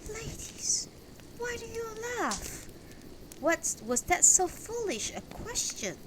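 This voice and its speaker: Woman playing proud old knight, swooping pitch. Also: very animated, takes it slowly, background noise, exaggerated voice. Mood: uneasy. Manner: courtly, formal, declamatory. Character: proud, indignant elderly male knight of King Arthur.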